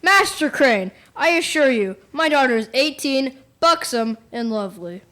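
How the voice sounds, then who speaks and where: A young female performer plays a gruff, wealthy farmer, protective of his family. The voice is fairly high, with the grumbling air of a radio-play father. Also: very animated, moderate pace, bright, forceful tone, heavily acted. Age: young teenager. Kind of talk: school radio play acting.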